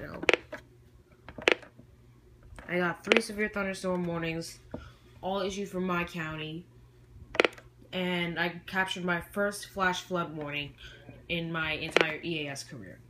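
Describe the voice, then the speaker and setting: Someone giving a casual, rambling show-and-tell of a collection, often trailing off and correcting themselves, recorded on homemade camera audio. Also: mid-range voice. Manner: hesitant, trailing off and self-correcting. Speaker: YouTube hobbyist presenting a collection. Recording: homemade camcorder audio with noticeable background noise.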